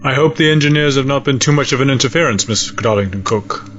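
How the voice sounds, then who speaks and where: A man playing a cold, resolute alien space-fleet admiral in a sci-fi audio drama, speaking in formal, measured, stiff diction. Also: medium pitch, fairly bright tone, dull audio, a little hiss or hum, lightly acted. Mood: urgent, controlled.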